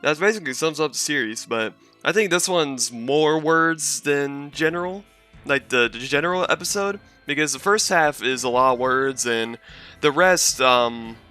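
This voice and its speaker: A man's voice: medium pitch, sharp tone. A man hosting a casual commentary recap, talking in a rambling, hesitant and self-deprecating way. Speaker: video host and reviewer.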